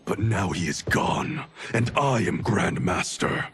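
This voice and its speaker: Cold, deep-voiced ninja grandmaster. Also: male voice, expressive, takes it slowly, fairly bright tone, a little hiss or hum. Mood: impatient, scornful. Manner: curt, scornful, authoritative. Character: proud, cold, domineering ninja clan grandmaster. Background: cinematic music.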